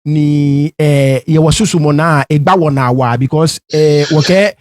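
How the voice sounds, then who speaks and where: Man, fairly high voice, halting interview question, dry recording. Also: fairly even intonation, dry recording. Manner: halting, many fillers and restarts.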